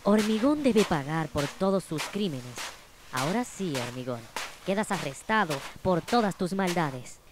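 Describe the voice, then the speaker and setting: A woman's voice: fairly low pitch, sing-song, measured pace, noisy background. A woman with a Puerto Rican accent voicing a stern, righteous robot-ant heroine who gives commands in a cartoon. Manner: declarative, commanding. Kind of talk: cartoon voice acting. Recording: loud music under the voice.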